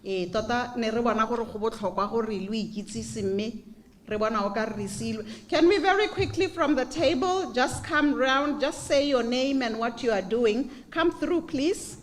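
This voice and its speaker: A woman hosting an event and briskly directing guests to introduce themselves. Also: a little high, slight background noise. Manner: brisk, giving quick polite instructions. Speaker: event host.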